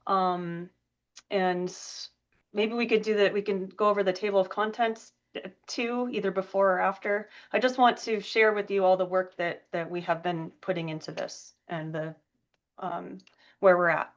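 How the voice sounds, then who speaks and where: A woman's voice: mid-range voice, steady tone, moderate pace. A woman who has a cold leads a meeting over a video call, talking hesitantly with frequent ums. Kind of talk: meeting presentation. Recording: dull lo-fi call audio.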